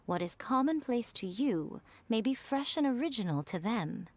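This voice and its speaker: A woman's voice: on the high side, expressive, moderate pace, a little mellow, no room sound. A woman voicing a sly, elegant fox-spirit lady in a video game, self-assured and teasing, with a poised, condescending way of talking. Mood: poised, persuasive. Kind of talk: video game character voice acting. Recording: background music.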